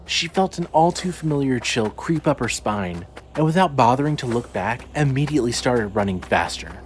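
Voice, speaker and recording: A man narrating scripted fiction dramatically as the narrator of a story. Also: medium pitch. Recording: faint background noise and ambience.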